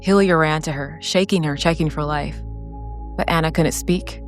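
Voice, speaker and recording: A woman narrating a scripted, dramatic solo role-playing story in a solemn, deliberate way with short phrases. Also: sits low, fairly even intonation. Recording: background music under the voice.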